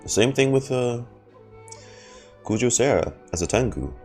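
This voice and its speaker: A man giving casual live reaction commentary as a game streamer, playful and a little halting. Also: medium pitch, expressive, a little mellow. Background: game music.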